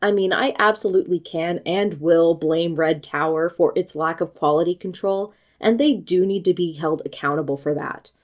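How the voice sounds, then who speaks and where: A woman from the United States narrates a scripted book review. She speaks in a measured, articulate way and sharpens into pointed critique, her voice sitting a little low. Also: darkish tone. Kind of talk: scripted video essay.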